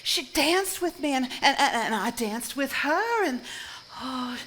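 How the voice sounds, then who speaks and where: An actress playing a wistful, rapturous wife in a live stage drama, breathy and halting with emotion. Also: female voice, high voice, animated, fairly bright tone. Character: wistful, rapturous wife enchanted by a girl's apparition. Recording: live stage recording with background noise.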